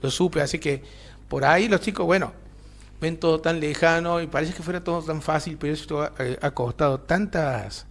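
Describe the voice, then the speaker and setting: A man with an Argentine accent, explaining history at an easy, hesitant pace in a casual radio discussion. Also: a little high. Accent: Argentine Spanish. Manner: measured, explanatory, frequent hesitations. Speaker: radio co-host.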